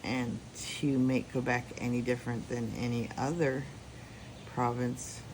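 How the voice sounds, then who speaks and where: Canadian male spiritual reader, unhurried and deliberate delivery, noisy background. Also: medium pitch, flat delivery. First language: English. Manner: slow, deliberate question-and-answer delivery. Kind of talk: pendulum reading with personal commentary.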